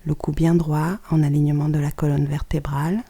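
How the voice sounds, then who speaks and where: A yoga nidra guide giving calm, soft-spoken instructions in short phrases with pauses, often close to a whisper. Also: medium pitch, vocal fry, slightly rough. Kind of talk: guided relaxation meditation, scripted instructions.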